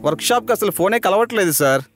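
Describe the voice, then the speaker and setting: Guy doing a nervy chatty driver, fast and high, cartoon dub with music. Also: lively intonation. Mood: fussy. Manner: fussy, easily panicked, respectful "sir". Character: jumpy talkative male driver. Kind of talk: dubbed cartoon acting. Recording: music bed under dialogue.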